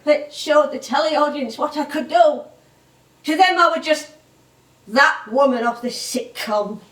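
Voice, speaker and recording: A high-voiced, wistful English stage veteran. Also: female voice, measured pace. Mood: indignant. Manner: emotive, dramatic, reminiscing. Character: ageing music hall actress, proud, wistful, wounded. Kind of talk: theatrical stage monologue and dialogue. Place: theatre stage, echoing. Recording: roomy theatre stage, distant microphone.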